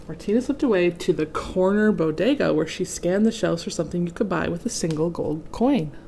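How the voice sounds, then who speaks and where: A woman's voice: on the low side, vocal fry, darkish tone, slight background noise. A woman reading a children's picture book aloud expressively, adding chatty asides to young listeners. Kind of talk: children's storybook read-aloud with casual asides.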